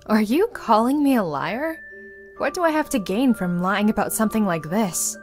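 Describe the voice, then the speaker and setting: Serious young female necromancer played by a woman, middle-pitched. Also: animated, moderate pace, soft background music, lightly acted. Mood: defensive. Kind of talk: visual novel character acting.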